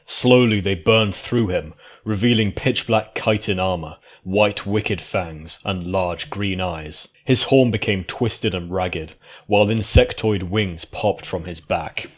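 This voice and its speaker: A man's voice: low-pitched, slow pace. A man reading scripted fantasy fiction as its narrator. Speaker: story narrator.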